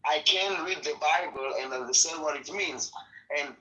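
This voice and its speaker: A man's voice: a little high, moderate pace, sharp tone, echoey room, recorded from a distance, poor audio. A man whose first language is Hebrew, arguing hard in an online debate, pressing his point and repeating himself when he is cut off.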